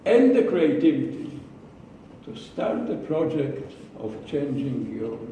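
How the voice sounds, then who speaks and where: A man with an Israeli accent giving a prepared speech to a club, slow and deliberate, with pauses and repeated words. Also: medium pitch, very animated, warm tone, slight background noise. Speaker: club speaker. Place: meeting room. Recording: echoey room from far off.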